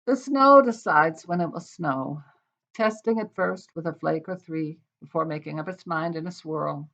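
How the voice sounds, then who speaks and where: An older woman with an American accent reading her own poetry aloud with expressive, deliberate phrasing. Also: sits low. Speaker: poet. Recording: dull, lo-fi sound.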